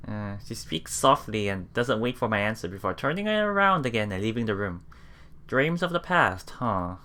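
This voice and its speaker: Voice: mid-range voice, very animated, normal speed, sharp tone. A let's player reading visual novel text aloud and musing casually on it as they go. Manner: musing, often thinking aloud.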